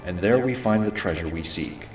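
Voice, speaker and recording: A man playing a cold, brooding warrior hero who narrates and speaks tersely in an animated fantasy. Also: deep voice, moderate pace, reverberant. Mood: aloof. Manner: terse, stern, deadpan. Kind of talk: dramatic animated narration and dialogue. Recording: music behind the voice.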